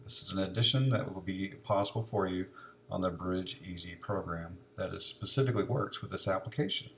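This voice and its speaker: A man giving an informal, unscripted step-by-step software demonstration, explaining each step as he goes. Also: sits low, a little fast, gravelly, slight background noise.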